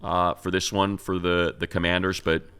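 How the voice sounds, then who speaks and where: A man's voice: low-pitched, little pitch movement, moderate pace, fairly bright tone, clear, resonant tone. A man co-hosting a sports show, breaking down football in a casual, conversational way with repeated words for emphasis. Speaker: sports show co-host and analyst. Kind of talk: casual sports talk analysis.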